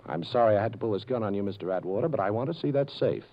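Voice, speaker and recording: A man acting a smooth, cheeky sleuth in a 1940s radio crime drama, wisecracking and unhurried. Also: fairly low pitch, expressive. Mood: cool, commanding. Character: smooth, cheeky adult male sleuth, cool under pressure. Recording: muffled, noisy old radio recording.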